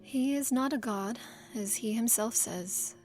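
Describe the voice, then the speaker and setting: A woman voicing a calculating female spy, speaking in a measured, firm way. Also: on the high side, a little mellow, faint music behind, voice pushed up, lightly acted.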